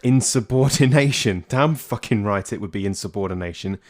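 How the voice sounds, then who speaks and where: A man's voice: lowish voice, normal speed, natural voice. A man playing a protective, blunt survivor in a naturalistic audio drama, urgent and sweary. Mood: indignant.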